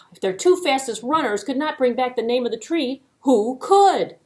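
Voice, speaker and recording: A woman storyteller narrating a children's puppet show expressively, with dramatic pauses and playful delivery. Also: sits high, expressive, darkish tone.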